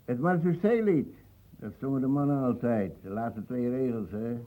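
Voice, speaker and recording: An actor playing a gruff, suspicious old ex-soldier, muttering and defiant, in a radio play. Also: male voice, mid-range voice, normal speed, phone-quality sound, close to an everyday voice. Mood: nostalgic. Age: older.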